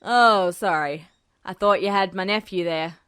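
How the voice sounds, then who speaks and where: A woman's voice: medium pitch, a little slow, a bit bright, clean tone, dry recording, studio quality, pitched below the performer's own voice, lightly acted. A woman voicing a casual, bluff male local policeman in a story reading. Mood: feigned casualness. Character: friendly local policeman feigning casual ignorance.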